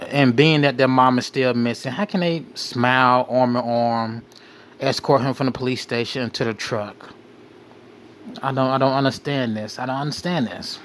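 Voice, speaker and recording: A man hosting a crime commentary, reading out case details in a halting way and often repeating his phrases. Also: medium pitch, steady tone, a bit bright, slight background noise. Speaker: show host.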